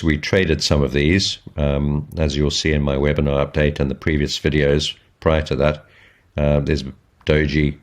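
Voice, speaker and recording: A man giving informal, explanatory market commentary as a trading educator, in a measured, step-by-step way. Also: extremely low pitch. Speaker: trading educator, channel host.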